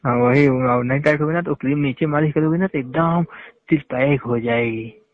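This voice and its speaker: A man's voice: medium pitch, moderate pace. A man on a phone call, talking casually and insistently, often pressing with repeated questions. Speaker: phone caller. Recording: tinny phone-line call recording.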